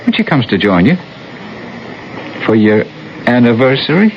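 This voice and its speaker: A man's voice: mid-range voice, sing-song, moderate pace. An actor playing a meek, bumbling male ghost in a radio drama, hesitant and deferential, with a stammer. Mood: gently resolute. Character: meek, bumbling, apologetic male ghost named Peter Pruitt. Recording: muffled, noisy 1970s radio recording.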